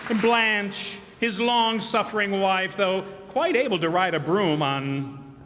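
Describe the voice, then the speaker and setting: A man's voice: sits high, slow, a crowd in the background. A man hosting a community theater curtain call, rambling with fillers and self-corrections in a live hall. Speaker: host and cast member of a community radio theater group. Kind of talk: curtain-call speech thanking cast and crew. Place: live performance hall before an audience. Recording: reverberant hall, faint background noise.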